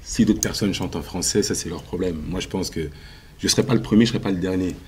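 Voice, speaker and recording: A man answering questions as a musician guest in a TV interview, confident and emphatic, with frequent filler pauses. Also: deep voice, a little mellow, a little hiss or hum. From Congo.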